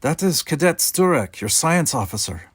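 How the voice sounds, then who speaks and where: A man's voice: on the high side, sing-song, moderate pace, breathy edge, no echo, clean studio sound, pitched above the performer's own voice, full character voice. A man voicing a stern male Russian starship officer in an audiobook, with a Russian accent and a matter-of-fact, instructing manner. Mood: matter-of-fact, critical.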